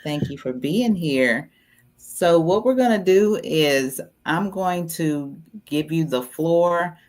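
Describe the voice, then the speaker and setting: A woman hosting an online talk show, calmly welcoming her guest and explaining how the session will run. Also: low voice, takes it slowly. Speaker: host.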